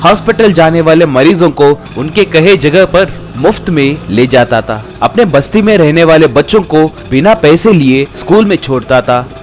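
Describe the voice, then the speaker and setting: Higher-pitched male storyteller. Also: animated, moderate pace, hardly any pauses. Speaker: storyteller narrator. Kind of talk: scripted narration of a Hindi moral story.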